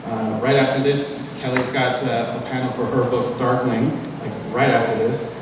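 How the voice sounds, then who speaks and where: A man's voice: medium pitch, level tone, moderate pace, background noise. A man hosting a convention panel, talking loosely with many fillers. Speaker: panel host. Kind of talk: casual presentation. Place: large convention hall. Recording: echoey hall, distant mic.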